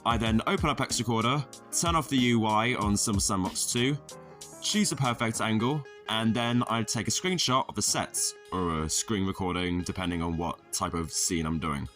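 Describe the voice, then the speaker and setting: A man giving a casual tutorial voice-over as a video creator, with deadpan, self-deprecating, sweary humour. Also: fairly low pitch, normal speed. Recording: music playing under the voice. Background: background music.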